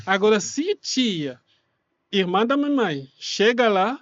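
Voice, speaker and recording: A man's voice: high voice, sing-song, slow pace, a bit bright, no room sound, highs cut off. A man from Kinshasa with a Congolese accent, a native speaker of Congolese languages, explaining in non-native, loosely grammatical Portuguese as an interview guest. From Kinshasa, Democratic Republic of the Congo. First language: Congolese languages such as Lingala. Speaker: interview guest, refugee rights activist.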